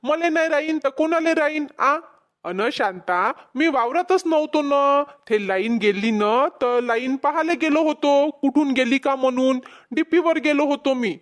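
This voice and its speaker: A woman's voice: high-pitched, normal speed, natural voice. A woman voicing a concerned, reasoning village farmer husband in a cartoon comedy, with a Vidarbha Marathi accent. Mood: placating, explaining. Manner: placating, explaining, gently chiding.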